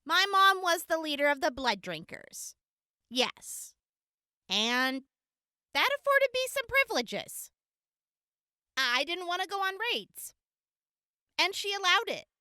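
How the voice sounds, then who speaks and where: Woman acting a gentle earnest wasteland medic girl on the stand, high bright voice, big pauses, radio drama. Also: sing-song, dry recording, clean studio sound, heavily acted. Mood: steady, defensive. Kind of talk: audio drama courtroom testimony.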